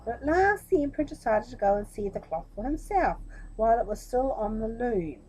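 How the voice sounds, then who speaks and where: Animated, mellow-voiced woman storyteller. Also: on the high side, fairly quick, slightly rough, rough recording. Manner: expressive, addresses young listeners directly. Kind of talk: children's storybook reading with chatty asides.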